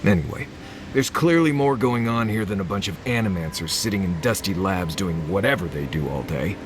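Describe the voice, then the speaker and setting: A man's voice: low voice, expressive, fairly quick. A man playing a wry, self-preserving adventuring companion in a video game, talking in an offhand, casual way. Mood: dismissive, impatient. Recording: clear ambient noise behind.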